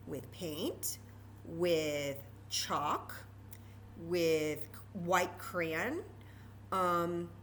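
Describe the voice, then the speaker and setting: A woman's voice: fairly low pitch, a bit bright, slight background noise. A woman presenting a children's story time craft, explaining each step informally and patiently and repeating key words.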